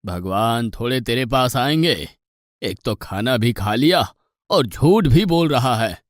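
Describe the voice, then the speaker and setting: A man playing a stern, pious old ashram guru in an animated moral story, admonishing and patient but exasperated. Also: medium pitch, slow pace, dry recording, clean studio sound. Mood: reproachful. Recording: music bed behind the voice.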